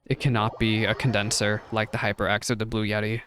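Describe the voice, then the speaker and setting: A man's voice: lowish voice, level tone, a little fast, fairly bright tone. Chatty man giving casual game reactions. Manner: chatty, self-interrupting, apologetic asides. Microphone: dynamic microphone.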